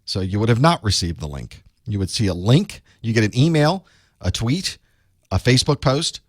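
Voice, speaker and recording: Man, slightly raspy from a chest cold, lowish voice, rambling live-stream host chat, dry close sound. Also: expressive, normal speed, no echo. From United States. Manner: chatty, rambling, self-deprecating asides. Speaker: online course instructor hosting live study group.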